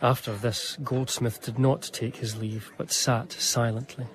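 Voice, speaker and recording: An actor playing an earnest, anxious gentleman biographer, narrating a period radio drama in a formal, reflective way. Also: mid-range voice, steady tone, a little slow, slight background noise, natural voice. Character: earnest, anxious, devoted gentleman biographer recalling his friend.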